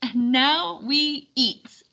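Woman voicing a high, bright storybook lady. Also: very slow, gravelly, dull audio, heavily acted. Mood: welcoming. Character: practical, cheerful medieval lady hostess.

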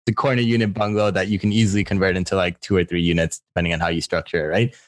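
A man co-hosting a real estate podcast in casual chat, quick and filler-heavy with casual swearing. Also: deep voice, little pitch movement, no room sound. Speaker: podcast co-host and mortgage broker.

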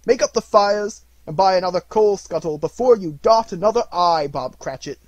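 A man voicing an old male miser, now reformed and hearty, speaking in a gruff, loud bark. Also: on the high side, sing-song, normal speed, no room sound, full character voice. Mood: joyful, loud. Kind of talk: audiobook reading.

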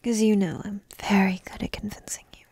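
A woman playing a young dragon princess in an ASMR roleplay, coy, teasing and lovestruck, half-whispering in a soft, intimate, breathy way. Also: medium pitch, little pitch movement, brisk, no echo. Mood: playful teasing.

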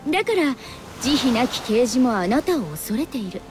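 A woman's voice: on the high side, big pitch swings, moderate pace, music in the background. A woman voicing an otherworldly young female being in a video game, playful yet solemn and knowing. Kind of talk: anime video game voice acting. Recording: noisy game ambience under voice.

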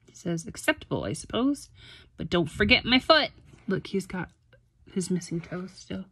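A woman's voice: on the low side, very animated, moderate pace, bright, forceful tone, breathy edge. A woman chatting casually as she helps a child through a picture book, encouraging and prompting with questions and repeats. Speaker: adult helping a child read a picture book. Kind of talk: casual read-along chat with a child. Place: carpeted room at home.

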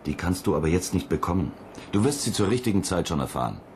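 An actor playing a gruff, brusque, domineering male space mission chief in a radio play. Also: low voice, sing-song, fast, a little mellow, a little hiss or hum. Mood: irritated, commanding. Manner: brusque, authoritarian. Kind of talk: German radio play acting.

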